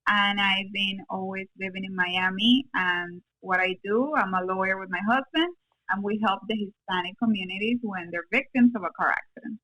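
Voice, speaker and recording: A woman raised in Cuba and Venezuela, with Spanish as her first language, speaks in a conversational interview. She is an attorney who explains things plainly in short, simple phrases, at a middle pitch. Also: moderate pace, bright, forceful tone, low-quality recording. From born in Cuba, raised in Venezuela, in the US since her teens. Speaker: podcast guest, attorney.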